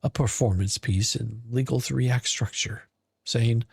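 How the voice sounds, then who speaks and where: A man narrating a scripted story in a dramatic, mocking manner, with deliberate theatrical pauses. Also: mid-range voice, creaky, breathy edge, no echo, clean studio sound. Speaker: podcast narrator.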